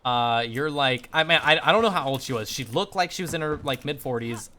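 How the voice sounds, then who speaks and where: A young man telling a casual story while gaming on a livestream, animated and slangy, swearing freely. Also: medium pitch, a little fast, a little hiss or hum. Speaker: live streamer.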